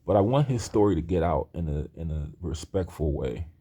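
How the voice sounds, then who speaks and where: A man's voice: low-pitched, expressive, brisk, dark tone, breathy edge. A man in his thirties talking in a rambling personal monologue, earnest and hesitant, with many restarts. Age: 30s. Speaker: aspiring screenwriter talking to camera.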